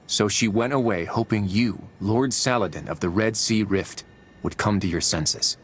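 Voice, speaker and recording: An actor playing an earnest, determined soldier hero in a video game, speaking with resolve. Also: male voice, lowish voice, moderate pace, a little hiss or hum, natural voice. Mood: firm, pleading. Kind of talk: dramatic video game acting.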